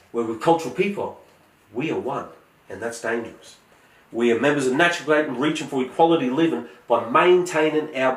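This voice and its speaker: A man, giving a prepared, earnest speech in a measured, emphatic way. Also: medium pitch, roomy sound, far from the mic, rough recording. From Australia. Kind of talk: prepared motivational speech with scripture quotes.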